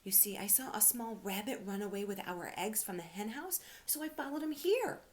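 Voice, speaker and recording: A woman's voice: mid-range voice, animated, normal speed, pitched above the performer's own voice, natural voice. A woman voicing a polite, eager, curious young male toy teddy bear from a children's storybook. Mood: eager, friendly.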